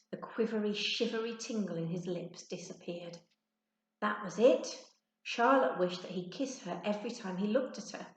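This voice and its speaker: A woman giving an expressive children's storybook narration, like a teacher reading to her class. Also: medium pitch. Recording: echoey untreated room.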